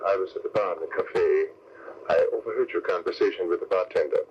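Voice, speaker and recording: A cold, scheming spy ringleader played by a male actor, low in pitch, who talks in a measured, formal, persuasive way. The style is vintage radio thriller, with dull sound and faint noise. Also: close to an everyday voice. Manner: smooth-talking, measured, formal. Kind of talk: 1940s radio crime serial acting. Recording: dull, noisy old radio broadcast recording.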